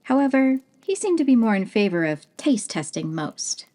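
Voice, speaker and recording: A woman narrating a fantasy story in a gentle, unhurried way. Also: fairly high pitch, sing-song. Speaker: audiobook narrator.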